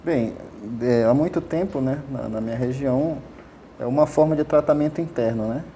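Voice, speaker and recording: Man, mellow voice, hesitant with lots of fillers, faint noise behind. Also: medium pitch, normal speed. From Amazonas, Brazil. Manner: hesitant, many fillers and restarts, frequent tag questions. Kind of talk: casual explanatory interview answers.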